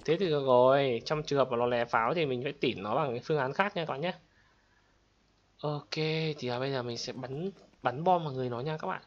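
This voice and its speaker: A man hosting gameplay in an exclamatory, excited commentary style, addressing viewers like friends. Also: medium pitch, fast, dull audio, slight background noise. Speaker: gaming video host. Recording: loud game gunfire and clicks behind. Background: game gunshots, explosions and clicks.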